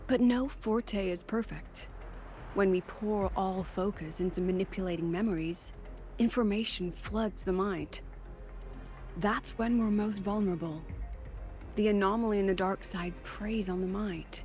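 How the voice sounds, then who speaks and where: A woman's voice: mid-range voice, darkish tone, soft background music, close to an everyday voice. Woman acting a composed, analytical female guide. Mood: composed, explaining. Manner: measured, cautious, explanatory. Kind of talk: video game voice acting.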